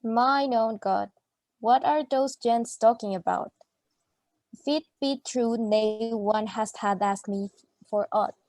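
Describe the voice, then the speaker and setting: A woman's voice: fairly high pitch, slow, a little mellow, dry recording. A woman playing an exasperated young student in a radio play, declaiming in mock Shakespearean English. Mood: indignant, theatrical. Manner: declamatory, archaic phrasing.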